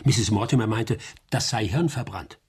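Informal investigator, radio play, very raspy, clipped, wry. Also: male voice, on the low side, normal speed, a little mellow. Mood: wry, businesslike. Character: informal, wry investigator reporting to his lady client. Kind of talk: radio drama acting.

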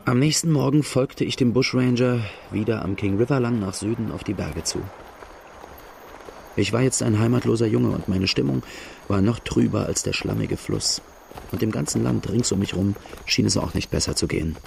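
A man's voice: lowish voice, normal speed, gravelly, a little mellow, slight background noise. An actor narrating a radio drama in the first person as a plain-spoken outlaw looking back on his boyhood. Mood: reflective.

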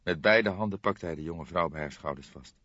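A man's voice: sits low, fairly quick, sharp tone, no room sound, highs cut off. A man reading a crime novel as scripted audiobook narration.

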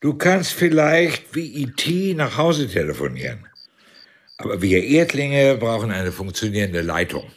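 An actor playing a wry, theatrical but loyal villager in a radio drama. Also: male voice, mid-range voice, sing-song, unhurried.

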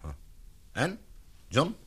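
A man acting in a radio drama, playing a commanding expedition leader with a measured, questioning manner. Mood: appraising, probing.

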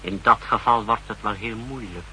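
A man's voice: lowish voice, normal speed, pushed and bright. An actor playing a zealous secret service subordinate, reporting formally and deferentially to his chief in a 1960s radio drama. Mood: dutiful, eager. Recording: faintly noisy 1960s radio recording.

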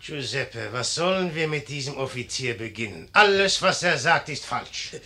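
An actor playing an imperious, mocking young general in a noisy old 1950s radio play, commanding and sardonic. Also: male voice, medium pitch, lively intonation, moderate pace, pushed and bright.

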